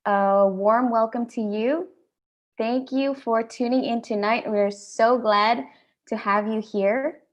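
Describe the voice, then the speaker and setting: A young adult woman with an Indian accent, hosting an online panel talk in a friendly, measured way with frequent ums. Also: a little high, steady tone, highs cut off. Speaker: host.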